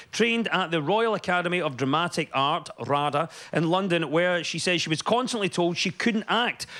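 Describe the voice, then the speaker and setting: A man hosts a studio comedy quiz, his voice sitting high and ringing bright. He moves briskly from setup to gag, with a live audience around him. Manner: brisk and jokey, reads setups then lands gags. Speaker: panel show host. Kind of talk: comic quiz hosting, punchline delivery.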